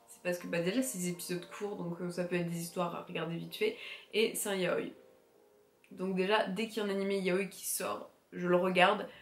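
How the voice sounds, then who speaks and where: A young woman with a cold and a stuffy nose, chatting casually to camera about what she plans to watch, with lots of fillers and restarts. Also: on the low side. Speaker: anime vlogger. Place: room with bookshelves. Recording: roomy echo, faint music behind.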